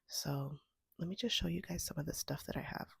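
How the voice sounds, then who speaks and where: A woman with a cold, hoarse and sniffling, chatting casually in a soft, half-whispered way as she vlogs from her home. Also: low-pitched, fairly quick, no echo, studio quality. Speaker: homestead vlogger. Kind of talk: casual vlog chat. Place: at home, indoors.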